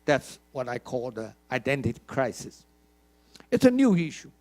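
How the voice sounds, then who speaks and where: A man's voice: mid-range voice, very animated, normal speed, a little mellow. Emphatic older man giving a keynote. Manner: emphatic, questioning the audience, many repeated words. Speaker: keynote speaker. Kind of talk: conference keynote speech. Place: conference hall.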